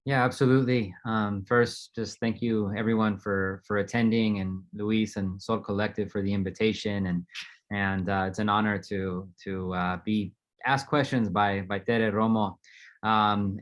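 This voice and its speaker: Low-voiced middle-aged man, thoughtful panelist. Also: moderate pace. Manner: thoughtful, steady, frequent ums. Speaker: panelist, university teacher. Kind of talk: panel discussion, personal story.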